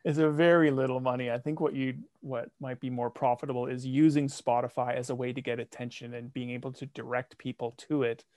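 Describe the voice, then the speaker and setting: A man's voice: mid-range voice, steady tone, fairly quick, few pauses, darkish tone, no echo, clean studio sound. A man guest on a podcast, chatting casually with a wry, tongue-in-cheek manner. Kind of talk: casual conversational chat.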